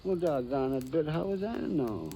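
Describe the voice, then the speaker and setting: An actor playing a cranky, suspicious old prospector with folksy, hectoring American speech in a radio western. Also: male voice, fairly high pitch, lively intonation, moderate pace, mellow, natural voice. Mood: sheepish, flustered. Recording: vintage radio recording with background hiss.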